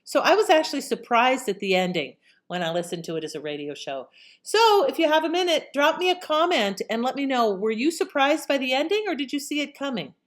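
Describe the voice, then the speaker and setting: A woman's voice: high-pitched, very animated, brisk. A woman casually introducing an old radio show as its host.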